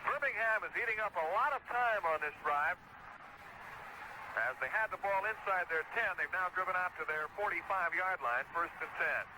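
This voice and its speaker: A man's voice: sits high, normal speed, background noise. A man with an American accent calling live football play-by-play in clipped, descriptive phrases on a 1970s radio broadcast.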